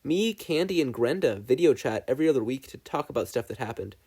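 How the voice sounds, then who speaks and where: A man's voice: mid-range voice, lively intonation, fast, mellow, breathy edge. A man voicing a chirpy, helpful, adventurous young teenage girl, upbeat and open, in a story reading. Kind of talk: fan fiction audiobook character reading.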